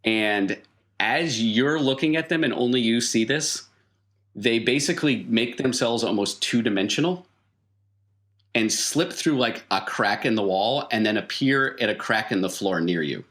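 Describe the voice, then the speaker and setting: A man narrating a tabletop roleplaying game as game master, in a conversational, halting way with vivid colloquial detail. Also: mid-range voice, expressive, fairly bright tone.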